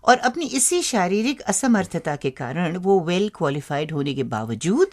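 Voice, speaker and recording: A woman co-hosting a radio show, talking through a scripted chat in an expressive, conversational way. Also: fairly low pitch, measured pace. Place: radio studio.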